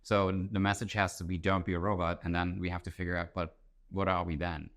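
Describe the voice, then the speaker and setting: A man's voice: low voice, flat delivery, fairly quick, fairly bright tone. A man from Germany, talking as an interview guest in a thoughtful, conversational, explanatory way. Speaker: interview guest, author and entrepreneur.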